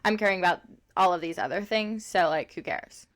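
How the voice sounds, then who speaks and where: A woman's voice: on the low side, fairly quick. Chatty woman in her twenties. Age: 20s. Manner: chatty, rambling, heavy use of "like", laughs often. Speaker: podcast co-host. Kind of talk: casual chat.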